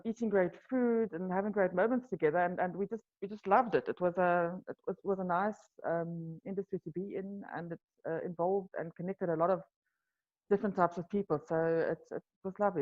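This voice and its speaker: South african woman chatting in an interview, lots of ums, lowish voice, muffled call sound. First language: Afrikaans. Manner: hesitant, many ums and restarts, soft laughs. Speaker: wine tour company founder, guest. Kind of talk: casual interview answers.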